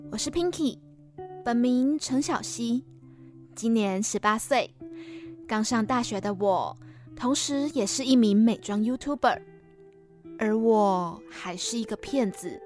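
A woman's voice: a little high, measured pace, long pauses, a little mellow, natural voice. A woman playing a weary eighteen-year-old girl giving an inner monologue in a radio drama. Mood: confessional. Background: music.

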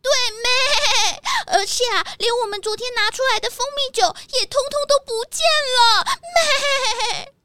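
Squeaky village girl, played by a woman, shrill, panicky, breathless, radio play. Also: measured pace, hardly any pauses, heavy background noise. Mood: panicked. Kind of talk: children's radio drama acting.

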